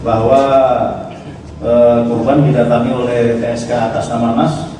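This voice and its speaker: A man's voice: mid-range voice, level tone, measured pace. A man, a police official, giving a formal, somewhat hesitant briefing at a press conference. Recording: echoey hall from far off, noisy.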